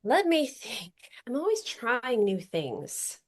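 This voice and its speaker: A talkative woman in her late forties with a fairly high voice, chatting as a podcast guest. She sounds upbeat, circles back on her thoughts and restarts sentences as she goes. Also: big pitch swings, takes it slowly. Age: 40s. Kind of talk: casual chat.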